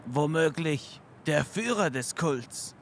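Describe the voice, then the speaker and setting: A man's voice: a little high, lively intonation, slow pace, vocal fry, heavily acted. A man playing a sensible police detective in a comedic audio drama, with a dry, matter-of-fact delivery. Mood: grave.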